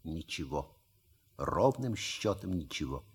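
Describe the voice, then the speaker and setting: A suave manservant in a stage-style audio comedy. His husky voice moves freely up and down as he delivers polished, ironic courtesies with sly restraint. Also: extremely low pitch, very animated, takes it slowly, full character voice. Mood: dry, polite. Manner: urbane, ironic, elaborately polite. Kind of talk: theatrical radio play acting.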